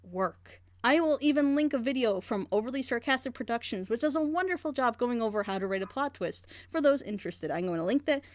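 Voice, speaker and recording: A woman's voice: on the high side, expressive, fairly quick, hardly any pauses, no echo. A woman hosting a group reaction video, critiquing a story in a passionate, rambling way. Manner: frustrated, rambling, emphatic. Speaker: reaction channel host.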